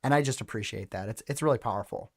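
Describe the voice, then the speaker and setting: A man's voice: fairly low pitch, fast talker, creaky, husky. A man in his thirties hosting a casual interview chat over a video call. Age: 30s. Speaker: host. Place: indoor room, video call.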